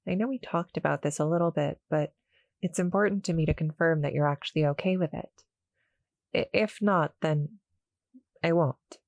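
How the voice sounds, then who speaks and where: A woman playing a shy, gentle succubus cuddle monster in an intimate roleplay audio, speaking hesitantly, softly and politely to the listener. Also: low voice, normal speed, gravelly, dry recording. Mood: gentle, reassuring. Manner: hesitant, soft-spoken, polite, apologetic.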